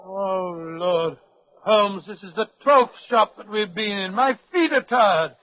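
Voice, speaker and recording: A blustering, good-natured British gentleman sidekick, high-pitched and spluttering as he repeats himself. It is old 1940s radio acting, heard thin and tinny. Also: expressive, slow pace, music in the background. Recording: thin, band-limited old radio recording.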